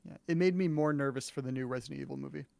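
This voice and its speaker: A man's voice: medium pitch, very fast, creaky, darkish tone, dry recording. A man chatting casually on a live stream with dry, understated joking.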